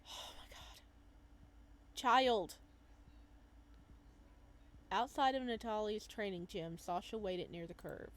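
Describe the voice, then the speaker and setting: A woman reading a visual novel aloud as a let's play host, reacting to the story in an animated way. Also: mid-range voice, slow, long pauses. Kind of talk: game commentary mixed with read-aloud narration.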